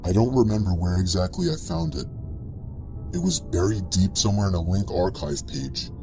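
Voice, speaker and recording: A man's voice: low-pitched, animated, normal speed. A man reading a scripted first-person scary story as a narrator, with music playing behind him.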